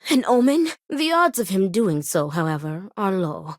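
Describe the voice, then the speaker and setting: A woman's voice: medium pitch, big pitch swings, normal speed, no echo, clean studio sound, lightly acted. A woman playing a stern, dutiful overseer of a family duel in a video game, speaking in formal, precise phrases. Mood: grave, earnest. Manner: formal, precise, clipped phrasing without contractions. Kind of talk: scripted video game character dialogue.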